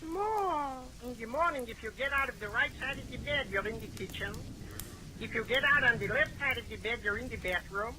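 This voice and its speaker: High-voiced, henpecked neighbour. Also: male voice, animated, fairly quick. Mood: wryly complaining. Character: henpecked, cheerful male neighbour, wry about his wife. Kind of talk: 1940s radio comedy acting. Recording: noisy old 1940s radio recording.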